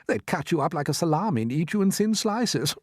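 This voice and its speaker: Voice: mid-range voice, very animated, moderate pace, husky, no room sound, clean studio sound. A performer voicing a brash, mocking male centipede, a scornful troublemaker in a children's storybook. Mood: gleefully teasing. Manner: jeering, boastful, scoffing.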